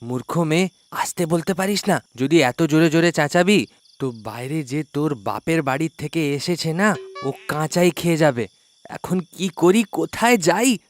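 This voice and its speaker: A man's voice: a little high, animated, moderate pace, slight background noise, close to an everyday voice. A man voicing an arrogant but cowardly village husband in a cartoon story, blustering and scolding. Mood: scolding, nervous. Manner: blustering, scolding, pleading when scared. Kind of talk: children's moral-story cartoon acting.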